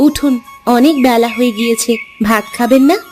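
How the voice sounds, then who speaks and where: Woman voicing a high-pitched, caring housewife. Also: brisk, darkish tone, close to an everyday voice. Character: dutiful young village housewife, patient and caring daughter-in-law. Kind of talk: dubbed cartoon dialogue. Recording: music under the voice.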